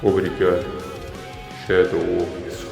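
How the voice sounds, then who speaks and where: Man voicing a low, solemn psychic creature. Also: completely flat delivery, a little slow, gravelly, a little mellow, reverberant, faint music behind. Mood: weary gratitude. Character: solemn, powerful male psychic genetic creature.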